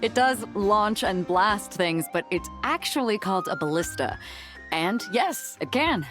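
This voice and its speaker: A breezy, confident ship captain from a video game, played by a woman. She talks informally and teasingly in a medium-pitched voice with lively swings, over music. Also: unhurried, natural voice. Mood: proud, playful. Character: breezy, confident young female battleship captain hawking weapons. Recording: music behind the voice.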